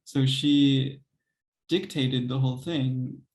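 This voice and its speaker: A young man and composer, speaking thoughtfully in an interview, hesitant with many pauses and soft laughs. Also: medium pitch, steady tone, rough recording. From United States. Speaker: composer and pianist guest. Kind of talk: reflective interview conversation.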